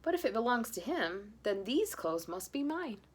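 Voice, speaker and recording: A befuddled storybook daddy bear, acted by a woman, pitched a little above plain narration. He is earnest and excitable, muddled yet bold. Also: normal speed, husky. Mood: earnest, confused. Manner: puzzled self-talk, sudden bold exclamations.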